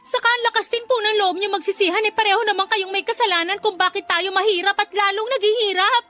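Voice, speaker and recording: Casting: woman as exasperated teenage-style daughter for radio drama; piercingly high, reproachful, pleading, still polite. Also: fairly even intonation, fast, sharp tone. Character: exasperated, outspoken school-age daughter, polite but weary. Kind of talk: Tagalog radio drama acting. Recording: music behind the voice. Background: music.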